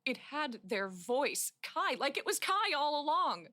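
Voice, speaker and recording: A woman's voice: sits high, big pitch swings, measured pace, bright, forceful tone, full character voice. A woman playing a troubled, defiant young patient in a radio drama, with a wounded, accusing manner. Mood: haunted. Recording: clear background noise.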